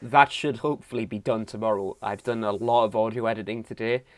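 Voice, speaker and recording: A man's voice: fairly low pitch, steady tone, a little fast, no room sound. A man co-hosting a movie podcast, stuffy from a cold, sniffing and swearing casually. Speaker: podcast co-host. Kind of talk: casual chat.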